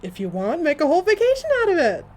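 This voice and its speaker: Chatty, excitable female gossip played by an actress for comic audio drama: highish voice, lively intonation, emphatic storytelling. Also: fast. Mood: excited gossip. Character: chatty, excitable woman gossiping to a dear friend, supernatural comedy.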